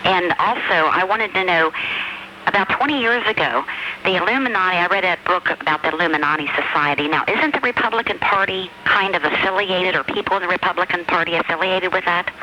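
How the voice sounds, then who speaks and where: A man's voice: sits high, brisk, bright, forceful tone. A man speaking casually as a caller to a radio talk show. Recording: phone call on hissy shortwave tape.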